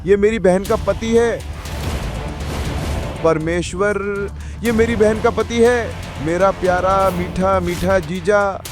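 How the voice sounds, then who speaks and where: A man acting a mockingly sweet young man, high-pitched. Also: slow, long pauses, over music. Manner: taunting, theatrical, syrupy. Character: smug young man mockingly sweet to his brother-in-law. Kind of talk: audio drama acting.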